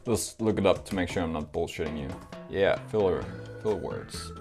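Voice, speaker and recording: Young guy vlogging to camera, kinda low voice, faint music behind, talks about himself candidly. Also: normal speed, darkish tone. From Denmark. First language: Danish. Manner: candid, self-deprecating. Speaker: YouTuber. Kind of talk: scripted vlog to camera.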